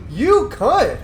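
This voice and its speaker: A man giving casual, excitable game commentary with plenty of swearing. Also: very high-pitched, slight background noise. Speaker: gaming video creator. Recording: roomy echo, game music behind. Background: game music.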